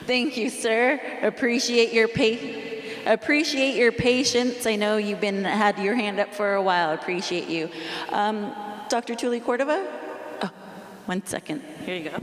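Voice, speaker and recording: A woman's voice: a little high, normal speed. A woman moderating a community meeting, who thanks speakers politely and calls on the next person. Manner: polite, brief acknowledgments. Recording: echoey hall with long reverb.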